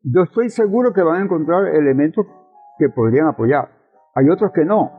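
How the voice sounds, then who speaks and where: Thin, narrow radio sound with music behind an older Venezuelan man. His voice is husky and he explains politics like a lecturer, posing rhetorical questions and repeating his key phrases. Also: a little high, animated, a little fast. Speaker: interviewed communist politician and professor. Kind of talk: conversational political explanation in an interview.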